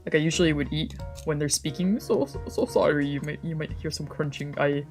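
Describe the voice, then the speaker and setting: Low-voiced woman chatting casually on stream. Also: fairly even intonation, a little fast, a little mellow, soft background music. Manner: informal, sweary, self-deprecating, playful. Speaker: VTuber streamer playing a visual novel. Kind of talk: casual rambling livestream chat. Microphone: old, cheap microphone.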